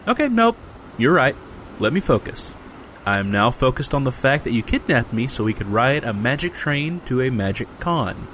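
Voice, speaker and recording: A man's voice: mid-range voice, big pitch swings, normal speed, a bit bright, slight background noise. A man playing a sarcastic, incredulous everyman in a comedic audio drama. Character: Jesse, exasperated everyman dragged along to a magic convention.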